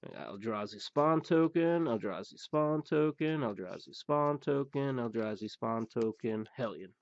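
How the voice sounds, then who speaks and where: A man hosting a pack-opening show, rambling through the cards with many fillers and blunt remarks, his voice going hoarse. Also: medium pitch, lively intonation, measured pace, no echo. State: losing his voice. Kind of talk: casual card-opening commentary. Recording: dull, dry recording.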